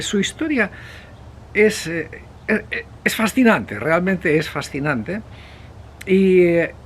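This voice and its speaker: A man from Spain presenting a history video in an unscripted, conversational way, rambling and hesitant, with pauses, digressions and self-corrections. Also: on the high side. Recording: clear steady background noise.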